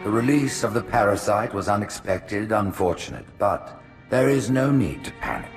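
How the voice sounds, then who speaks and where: An actor playing a scheming alien high priest in a game, addressing his people in a measured, oratorical way. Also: animated, soft background music. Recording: game score behind.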